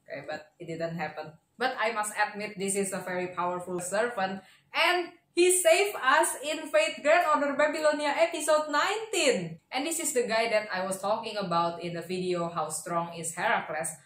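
A young woman giving casual, expressive fan commentary as host of a reaction video, speaking close to a desk microphone indoors. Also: medium pitch, moderate pace. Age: young adult. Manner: expressive, excitable fan talk. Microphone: desk microphone on arm, close. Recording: roomy sound with faint music behind.

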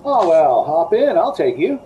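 A menacing, controlling man from a 1940s-style radio play, acted by a woman. The low, animated voice talks smoothly and insistently, on slightly dull video-call audio. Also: measured pace, slight background noise. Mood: brisk, courteous. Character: smooth-talking, menacing male stranger in a car.

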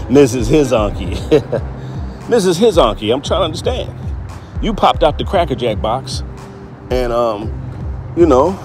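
A man's voice: medium pitch, darkish tone. A man hosting a casual reaction commentary, rambling with filler words, repeating himself and chuckling. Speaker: host of a commentary channel.

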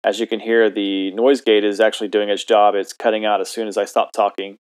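A man with a fairly low voice explains a music-software routing setup in a casual, halting way. He stops and restarts often and fills gaps with ums. It sounds like a mono mic in a home recording setup. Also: flat delivery. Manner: hesitant, many ums and restarts. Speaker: tutorial host.

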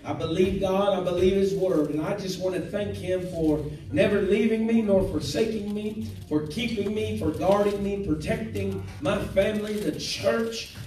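Pastor, thirties, fervent revival-style delivery, fairly high voice, heard from afar in an echoey sanctuary. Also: male voice, normal speed, dark tone. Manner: fervent, preacherly, frequent praise-the-Lords. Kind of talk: church service testimony time. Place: small church sanctuary. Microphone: handheld mic, filmed by far camera. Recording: echoey church from a distance.

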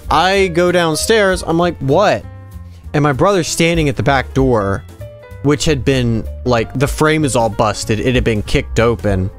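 A man in his twenties doing casual gameplay commentary and telling personal stories in an animated, rambling, conversational way. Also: a little high. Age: 20s. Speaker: gaming video host. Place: small dim room at home, indoors.

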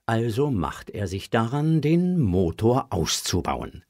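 A man's voice: medium pitch, sing-song, takes it slowly, darkish tone, dry recording, clean studio sound. A man narrating a scripted audio drama for children.

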